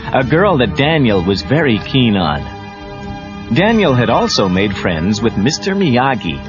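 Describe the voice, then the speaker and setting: A man narrating a scripted read-along story for children as the storyteller. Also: medium pitch. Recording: muffled lo-fi read-along cassette tape.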